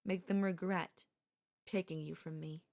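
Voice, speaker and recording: A young woman voicing an obsessive, possessive childhood friend in an ASMR roleplay, talking softly with a sweet, menacing calm and slipping into whispers. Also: on the low side, measured pace, gravelly.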